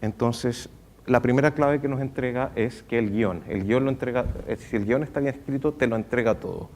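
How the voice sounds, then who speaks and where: Male moderator and interpreter, warm low-bright voice, slight background noise. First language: Spanish. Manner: halting questions, then fluent translating. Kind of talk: hosting a bilingual Q&A. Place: university auditorium.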